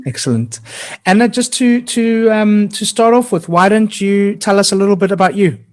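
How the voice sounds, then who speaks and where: A man hosting a podcast interview, upbeat and friendly, often pausing with ums and restarting his sentences. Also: high voice. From Portugal. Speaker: podcast host.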